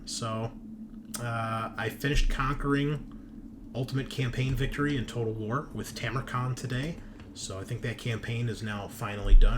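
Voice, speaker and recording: A man hosting a tabletop game stream as game master, chatting casually in a rambling, laid-back, sweary way. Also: lowish voice.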